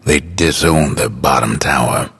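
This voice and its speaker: An actor playing a laconic, folksy, world-weary male game announcer with an American accent and a gravelly, husky voice. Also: very deep voice, fairly bright tone, full character voice. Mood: wry, understated. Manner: folksy, unhurried, understated. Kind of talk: scripted game announcer lines.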